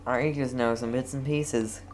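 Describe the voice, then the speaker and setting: A man's voice: mid-range voice, steady tone, unhurried. A man streaming a game, giving casual, laid-back commentary with dry remarks.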